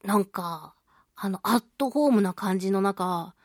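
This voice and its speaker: A woman's voice: medium pitch, lively intonation, a little slow, dry recording. A woman chatting casually as a radio host and reading listener mail, playful, giggly and self-teasing, in a close studio recording. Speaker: radio show host and voice actress.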